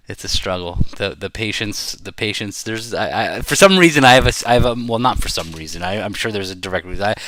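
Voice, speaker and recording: A middle-aged man giving casual live commentary as he plays a card game, rambling and halting as he thinks aloud. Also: on the low side, bright, forceful tone. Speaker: bridge player commentating while playing.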